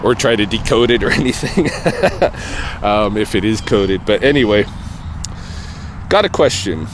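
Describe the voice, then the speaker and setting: A man talking casually to camera while out on an evening walk, thinking aloud in a loose, rambling way with pauses and soft chuckles. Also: on the low side, animated, noisy background. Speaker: vlogger answering a viewer question. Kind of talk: casual walking vlog. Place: outdoors on an evening walk near traffic.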